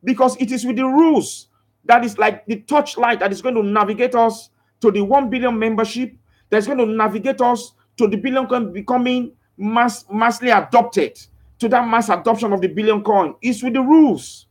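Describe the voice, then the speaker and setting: A man's voice: extremely high pitch, normal speed. Preachy man exhorting a live audience. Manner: exhortative, repeats phrases for emphasis; reads out and answers viewer comments. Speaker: live broadcaster promoting a crypto scheme. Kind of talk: motivational live broadcast, preachy.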